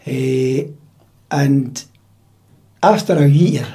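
A Scottish man in his seventies, recalling his working life in a measured, matter-of-fact way during a relaxed interview in a dining room at home. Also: medium pitch, creaky. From Scotland. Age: 70s. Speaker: interview guest, retired drummer. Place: dining room in a private house.

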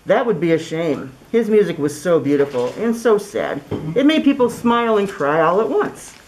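Animated middle-aged woman reading a storybook. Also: on the low side, moderate pace, low-quality recording. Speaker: children's story reader. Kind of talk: read-aloud storybook narration. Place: small indoor classroom. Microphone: camera a few feet away, speaking through cloth mask.

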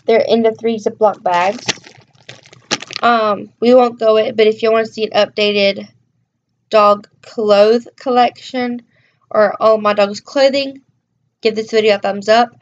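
A woman's voice: on the high side, steady tone, unhurried. A young woman vlogging casually in her bedroom, chatty and rambling with frequent ums, showing items to a close webcam. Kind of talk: casual show-and-tell vlog. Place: bedroom, indoors. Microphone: webcam at close range. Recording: dull lo-fi webcam audio with faint hiss.

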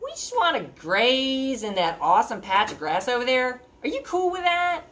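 A woman voicing an easygoing middle-sized male goat who talks in a relaxed, casual way, pitched above her own voice. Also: moderate pace, exaggerated voice. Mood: laid-back.

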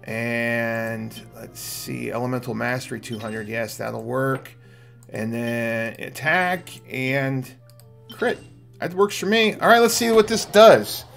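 Gravelly middle-aged man streaming a game. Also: medium pitch, slow, a bit bright. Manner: thinking aloud. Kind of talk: casual gameplay commentary. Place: small indoor room at home. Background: faint game music.

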